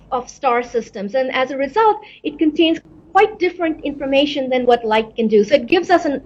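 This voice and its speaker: A woman's voice: high voice, lo-fi sound. A middle-aged woman from Pakistan, an astrophysicist answering interview questions thoughtfully, often repeating words as she searches for them. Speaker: astrophysicist guest.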